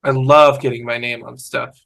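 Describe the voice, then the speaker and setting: A man's voice: mid-range voice, little pitch movement, moderate pace, creaky, fairly bright tone. A man playing a cheerful, dim-witted young guy. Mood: upbeat, sincere. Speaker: fan table-read actor. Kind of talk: scripted comedy reading. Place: video call.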